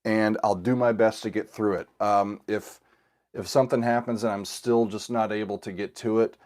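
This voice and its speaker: A man's voice: lowish voice, steady tone, moderate pace, darkish tone. A middle-aged man hosting a casual livestream, a little scratchy from a cold, rambling with fillers and restarts. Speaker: host of a music reaction channel. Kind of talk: casual livestream chat with viewers.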